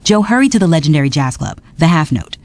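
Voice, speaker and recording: Lively woman reading a storybook. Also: low-pitched, fast talker. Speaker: narrator. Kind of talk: storybook read-along narration. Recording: narration over soft music.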